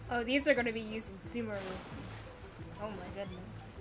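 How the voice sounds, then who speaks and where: A woman's voice: on the high side, moderate pace. A woman doing casual live game commentary, exclaiming and talking to herself as she plays. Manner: exclamatory, talks to herself while playing. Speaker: game streamer, host. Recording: game music behind the voice. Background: video game music.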